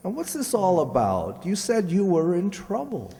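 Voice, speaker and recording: A man playing a gallant, skeptical gentleman in a radio drama, speaking in a polite, wry and measured manner. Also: high-pitched, huge pitch swings, creaky, full character voice. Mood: puzzled, polite. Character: gallant, skeptical gentleman drawn into a spy intrigue. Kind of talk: old-time radio drama acting. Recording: reverberant stage-like radio play recording.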